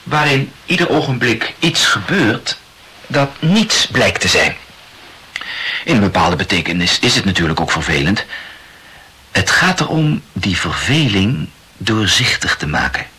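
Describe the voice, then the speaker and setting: An actor in a radio play playing a chatty, self-absorbed young amateur writer who rambles and corrects himself insistently. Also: male voice, lowish voice, very animated, a little slow, sharp tone, breathy edge, heavily acted. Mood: earnest, pedantic. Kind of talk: literary radio play dialogue.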